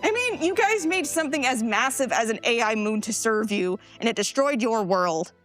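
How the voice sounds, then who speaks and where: A woman's voice: a little high, lively intonation, normal speed, a bit bright. A woman playing a blunt, morally indignant space crew member in a live sci-fi audio play, talking colloquially and challengingly. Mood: indignant, scolding. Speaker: actor in a live stage audio drama. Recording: live stage play with music bed.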